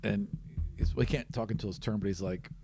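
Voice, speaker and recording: A man's voice: lowish voice, steady tone, brisk, gravelly, background noise. A man narrating a tabletop role-playing game as game master in a casual, conversational way.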